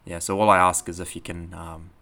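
A man's voice: low voice, level tone, fairly quick, bright, forceful tone. A young man with a New Zealand accent, a podcast host and coach talking casually with many fillers and restarts. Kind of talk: casual spoken talk and podcast outro.

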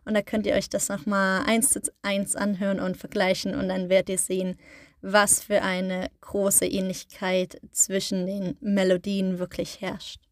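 A woman's voice: mid-range voice, little pitch movement, measured pace, no room sound, studio quality. A woman hosting a podcast, explaining in a casual way, often rephrasing herself and using fillers. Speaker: podcast host.

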